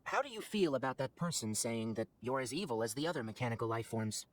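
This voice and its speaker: A man playing a knowledgeable young forest ranger in a video game, articulate, brisk and wry as he explains things. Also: mid-range voice, expressive, close to an everyday voice. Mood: wry, curious. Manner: articulate, explanatory, matter-of-fact. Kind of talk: video game character acting.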